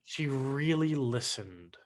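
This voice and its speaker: A man playing a reflective son who recites his journal in a staged play reading, measured and deliberate, over a video call. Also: mid-range voice, animated.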